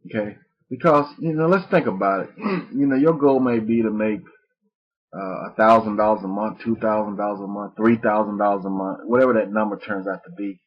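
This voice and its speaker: Man, webinar presenter; low, husky, dull lo-fi sound, folksy and rambling. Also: brisk. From Mississippi. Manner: rambling, many fillers and restarts, folksy. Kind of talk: live webinar teaching. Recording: muffled lo-fi webinar audio.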